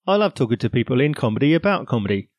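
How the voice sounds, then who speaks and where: A man's voice: medium pitch, sing-song, very fast, faint music behind. Punning English male podcast host. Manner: punning, playful delivery. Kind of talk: scripted intro, then interview.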